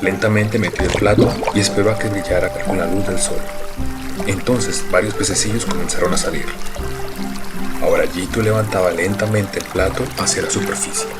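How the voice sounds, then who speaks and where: A man with a very low voice narrates an audio drama. His scripted storytelling is measured, with loud music running behind him. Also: steady tone.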